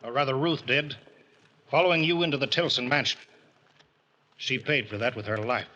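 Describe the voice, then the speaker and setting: A man's voice: medium pitch, normal speed, pushed and bright. A man playing a grim, vengeful man confronting a killer in an old radio drama, cold and clipped. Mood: menacing. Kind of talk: 1940s radio acting. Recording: dull old radio broadcast recording.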